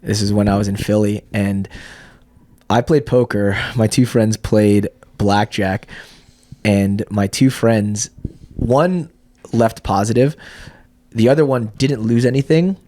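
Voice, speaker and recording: A man's voice: on the low side, takes it slowly, dark tone, dry recording. A young man hosting a podcast, telling stories in a loose, profane way and laughing often. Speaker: podcast host. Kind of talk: casual podcast chat.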